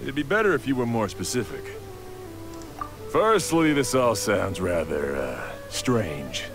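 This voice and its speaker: An actor playing a skeptical, matter-of-fact blacksmith in a video game, doubtful and deliberate. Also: male voice, lowish voice, big pitch swings. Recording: game music behind the voice.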